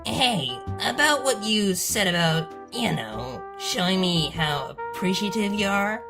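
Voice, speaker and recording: A woman voicing a loyal, teasing, excitable female cartoon unicorn with a casual, easygoing way of talking. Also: medium pitch, measured pace, music in the background, voice pushed up, close to an everyday voice. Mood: casual, curious.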